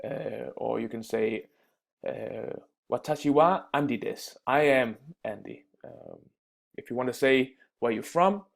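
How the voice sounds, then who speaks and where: A man teaching everyday phrases in a casual spoken lesson, pausing often with fillers and restarts. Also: mid-range voice, gravelly. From Denmark. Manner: halting, many fillers and restarts. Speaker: language teacher.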